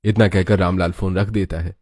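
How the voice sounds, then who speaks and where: A man reads scripted narration for a cartoon tale. His voice is fairly low and distinctly dark and mellow, in a storyteller's delivery. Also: little pitch movement, brisk, soft background music.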